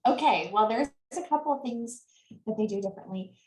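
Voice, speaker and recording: A woman with a fairly high voice speaks as a sales coach in an interview. She explains earnestly and laughs easily. Her voice sounds roomy, with an echo around it. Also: expressive, a little fast. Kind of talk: interview answers. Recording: echoey room.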